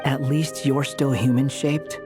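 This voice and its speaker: A wry, level-headed fantasy game companion played by a man. His mid-pitched voice is dry and practical, with faint music behind. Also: fairly even intonation, measured pace, natural voice. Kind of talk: video game voice acting.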